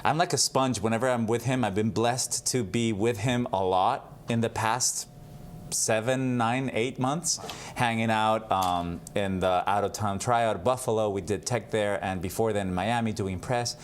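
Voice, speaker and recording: A man's voice: lowish voice, measured pace, slight background noise. A young man from Mexico, an actor chatting eagerly with an interviewer and laughing easily. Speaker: guest actor. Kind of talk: casual interview chat.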